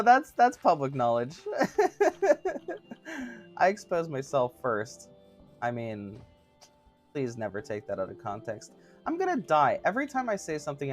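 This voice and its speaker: A man in his thirties with a somewhat high-pitched voice, chatting casually with livestream viewers in a giggly, chatty, self-mocking way. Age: 30s. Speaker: streamer.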